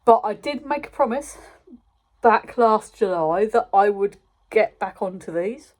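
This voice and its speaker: A woman's voice: medium pitch, lively intonation, measured pace, darkish tone. A British woman chatting casually and unscripted as a channel host giving a review, in an informal, rambling way, often interrupting herself.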